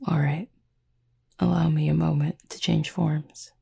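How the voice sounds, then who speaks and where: Woman playing a low, husky, formal demon roommate. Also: level tone, exaggerated voice. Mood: amused, caring. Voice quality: husky, breathy, gravelly low voice. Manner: formal, measured, softly teasing speech. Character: calm, formal, gently teasing cupid demon roommate with horns. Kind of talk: soft-spoken roleplay sleep-aid audio.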